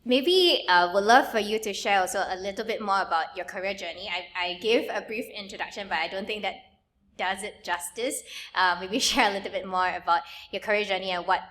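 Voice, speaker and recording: A woman hosting a podcast interview, asking the guest questions and giving frequent short acknowledgements and soft laughs. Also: medium pitch, brisk. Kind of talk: casual interview conversation.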